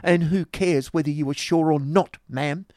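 A man's voice: fairly high pitch, normal speed, darkish tone, no room sound, studio quality, pitched above the performer's own voice, natural voice. A man voicing a spiteful, haughty young woman, scornful and indignant, in a dramatised novel reading.

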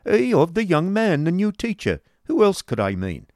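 A man puts on a pompous, bullying schoolmaster for a storybook. The voice jumps high and loud, swinging widely as he blusters and wheedles. It is recorded close and dry. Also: huge pitch swings, normal speed, no echo, full character voice. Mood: placating. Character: bullying, self-important schoolmaster husband, stern yet wheedling.

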